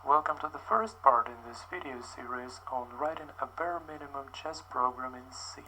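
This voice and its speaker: A man narrates a coding tutorial in a careful, rehearsed manner. The clip is older footage played back, and clear hiss sits under it. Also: mid-range voice, normal speed, pushed and bright, low-quality recording. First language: Russian.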